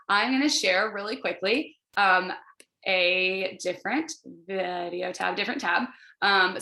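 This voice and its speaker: A woman with a bright, forward voice gives an informal coaching pitch over a video call. She talks in a chatty, encouraging way and leans on her points. The sound is roomy, with audible echo around her voice. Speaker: wellness sales coach presenting to a group.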